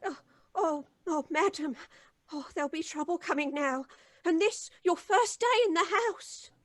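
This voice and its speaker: An actress playing a fretful, doom-laden housekeeper, wailing and melodramatic in old-time radio style. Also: female voice, very high voice, unhurried, dry recording, studio quality. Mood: wailing, ominous. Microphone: close studio microphone.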